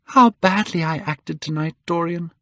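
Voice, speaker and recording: A man voicing a heartbroken young actress who pleads in a high, imploring voice. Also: very animated, measured pace, no room sound, heavily acted. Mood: pleading. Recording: dull dry recording.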